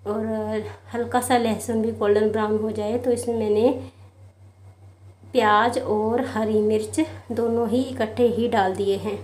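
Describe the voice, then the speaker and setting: A woman's voice: a little high, warm tone, roomy sound. A woman hosting a home cooking channel, talking viewers through a recipe in a casual, friendly way. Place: home kitchen.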